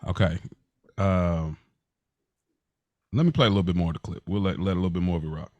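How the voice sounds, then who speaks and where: A man's voice: sits low, fairly quick, darkish tone, no room sound. A man co-hosting a casual podcast chat, speaking in slangy, informal language. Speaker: podcast co-host and producer.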